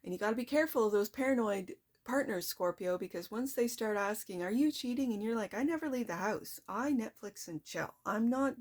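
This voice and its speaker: A soft-spoken woman hosting a casual tarot reading, talking straight to her viewers in a chatty, reflective way. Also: a little high, expressive, fairly quick, hardly any pauses. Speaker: tarot reader.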